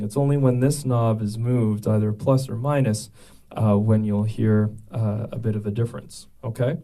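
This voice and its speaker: Male instructor, mellow voice, casual step-by-step tutorial delivery. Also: medium pitch. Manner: explains step by step with many fillers and restarts. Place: room with a mixing board and speaker.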